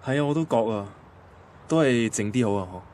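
Actor as shy young man, Hong Kong Cantonese accent, casual hesitant radio drama delivery, medium pitch, light background noise. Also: animated, brisk, darkish tone, close to an everyday voice. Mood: friendly, casual. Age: young adult. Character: shy, awkward, friendly young man courting a woman. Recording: faint ambient noise behind voice.

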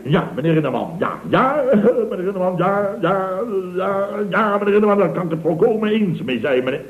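A man's voice: high-pitched, sing-song, brisk. A man playing a scheming, self-pitying boss of a building firm in a radio comedy drama, theatrical and wheedling. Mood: flustered, ingratiating. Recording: dull old radio recording with hiss.

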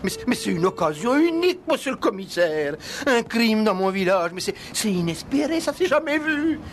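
Excitable, stammering village police sergeant, high-voiced. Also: male voice, normal speed. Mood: eager pleading. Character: eager, deferential village police sergeant, male, pleading and ambitious. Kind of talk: 1960s French-language comic radio play acting. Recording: noisy old radio recording.

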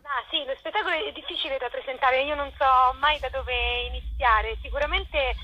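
Bright, high-voiced woman on a muffled line. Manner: thoughtful, halting, searches for words. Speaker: actress and co-author interviewed about her play. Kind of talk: spontaneous interview, explanatory. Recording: muffled lo-fi phone-like line with noise.

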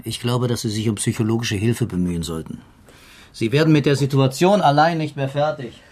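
An actor in a radio crime drama playing a skeptical, matter-of-fact police detective with a dry, probing manner. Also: male voice, mid-range voice, fairly quick, a little mellow, a little hiss or hum, lightly acted. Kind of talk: naturalistic German radio crime drama acting.

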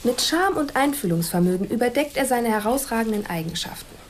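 An actress playing an analytical police profiler in a radio drama, speaking in a measured, formal, clinical way. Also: female voice, fairly high pitch, expressive, slight background noise, natural voice. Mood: clinical, assured. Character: analytical police profiler presenting a personality assessment. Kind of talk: German radio drama acting.

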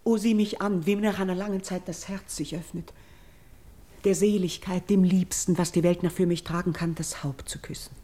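A woman acts a noble priestess in a classical radio play. Her voice is low and mellow, with a breathy husk. She declaims verse solemnly and pleads with tender gravity. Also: fairly even intonation, lightly acted. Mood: tender, moved. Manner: solemn, elevated, measured declamation with breathy hushed phrases. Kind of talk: classical German verse radio drama acting.

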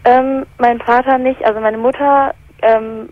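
A woman's voice: on the high side, steady tone, unhurried, a little mellow, dry recording, rough recording. A young woman in her teens from England, calling in and telling a personal story hesitantly, searching for words. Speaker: caller. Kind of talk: hesitant personal account on a call-in show.

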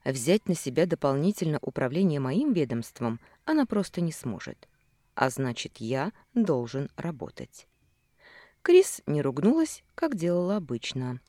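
A woman reading a first-person novel aloud as an audiobook narrator, in a measured, expressive storytelling way with dramatic pauses. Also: deep voice, dry recording, studio quality. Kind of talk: first-person fiction narration from a male hero's view.

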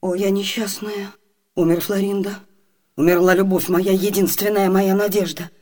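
An actress playing a passionate young woman disguised as a gentleman, commanding and theatrically emotional, in a radio play. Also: medium pitch, flat delivery, moderate pace, close to an everyday voice. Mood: grieving, despairing. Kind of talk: Soviet radio theatre acting.

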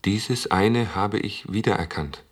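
A man's voice: on the low side, a little slow. An actor playing a grave, reverent man in a German radio play.

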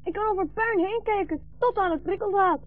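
A woman's voice: very high voice, a little fast, no echo. A woman playing a hungry, trusting young refugee boy, childlike and earnest, in a 1960s Dutch radio drama. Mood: earnest, attentive. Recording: dull, muffled 1960s radio recording.

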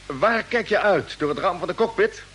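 Brisk high-pitched spaceship captain. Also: male voice, expressive, a bit bright. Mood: calm commanding. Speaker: radio drama actor. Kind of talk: mid-century radio play acting. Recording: old radio recording with faint noise.

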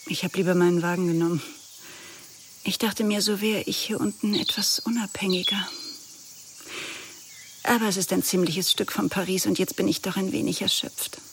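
A woman's voice: medium pitch, fairly even intonation, normal speed, long pauses, lightly acted. An actress playing a poised, elegant middle-aged woman, reserved and polite, in a radio play. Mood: polite, weary. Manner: composed, polite. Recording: noisy summer ambience behind voice.

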